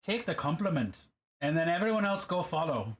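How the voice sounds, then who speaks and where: A man with a high, bouncy voice chats on a live stream while he paints. He reads messages aloud in a loose, joking way. Now and then he drifts playfully into a mock Irish accent. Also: big pitch swings, moderate pace.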